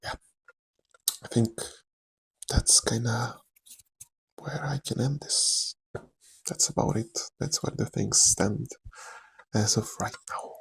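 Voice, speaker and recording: A young adult man with a Slavic accent, speaking softly and candidly in a rambling, casual ASMR chat, with frequent fillers and quiet laughs. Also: mid-range voice, slow pace, gravelly, long pauses, husky, poor audio. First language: Czech or another Slavic language. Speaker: actor.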